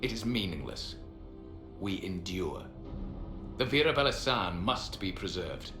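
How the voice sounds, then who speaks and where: An ancient elven guardian in a fantasy game. His low voice is stern, proud and weary, with formal, measured phrasing. It echoes over background music. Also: big pitch swings. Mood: weary, guarded. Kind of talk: video game dramatic acting. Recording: echoing hall, music underneath.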